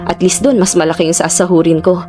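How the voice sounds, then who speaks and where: A woman's voice: lowish voice, steady tone, fast talker, mellow, soft background music, natural voice. A woman playing a hardworking, determined young Filipino niece in a Tagalog radio drama, with a Filipino accent. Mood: earnest, resigned.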